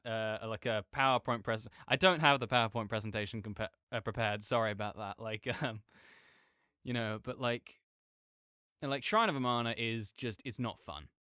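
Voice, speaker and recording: A man's voice: mid-range voice, sharp tone, clear, resonant tone, no room sound, studio quality, lightly acted. Rambling, opinionated gamer roommate, played by a man. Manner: rambling, self-correcting, halting, apologetic asides. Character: opinionated gamer roommate ranting good-naturedly about games. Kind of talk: rambling casual rant, sleep-aid audio roleplay.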